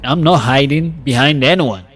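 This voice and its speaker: A man voicing an eager, hot-headed teenage boxer in a dramatized story, earnest and excitable. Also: medium pitch, moderate pace, husky. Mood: defiant, trusting.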